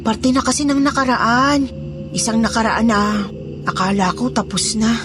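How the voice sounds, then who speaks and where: A woman's voice: on the high side, steady tone, moderate pace, background noise, close to an everyday voice. A woman playing a fearful, loyal housemaid in a Tagalog horror audio drama, confiding and deferential as she tells a suspenseful story. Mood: uneasy, guarded. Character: fearful, loyal housemaid revealing dark secrets.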